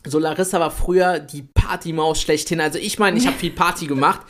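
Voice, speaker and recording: A man in his late twenties co-hosting a podcast, talking in a chatty, energetic way about building an online business. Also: on the high side, brisk. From Italy. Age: 20s. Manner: energetic, chatty, swears lightly. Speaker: podcast co-host. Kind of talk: casual podcast chat.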